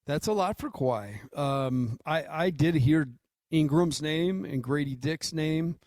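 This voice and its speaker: A man's voice: a little high, lively intonation, slow, a little mellow, dry recording, clean studio sound. An American man co-hosting a sports radio show with casual, informal and joking talk.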